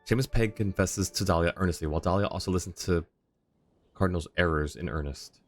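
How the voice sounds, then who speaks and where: Deep-voiced man casually chatting on a livestream. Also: brisk. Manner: friendly, chatty, informal. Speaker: video game livestreamer. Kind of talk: casual chat and live commentary. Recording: game music behind the voice.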